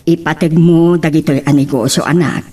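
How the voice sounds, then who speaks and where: A woman's voice: deep voice, steady tone, normal speed, dark tone, husky, recorded from a distance. An actress playing a firm, middle-aged businesswoman mother in an Ilocano drama. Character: mature businesswoman mother, firm, planning for her daughter. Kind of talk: Ilocano radio-style drama acting.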